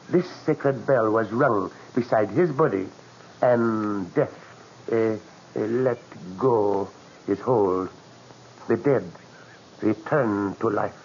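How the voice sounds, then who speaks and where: Slow, solemn old-radio mystery shopkeeper. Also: male voice, mid-range voice, a little mellow. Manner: slow, solemn, halting, formal phrasing. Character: grave, superstitious male curio seller guarding an ominous legend. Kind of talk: 1940s radio mystery acting. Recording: dull, noisy old 1940s radio recording.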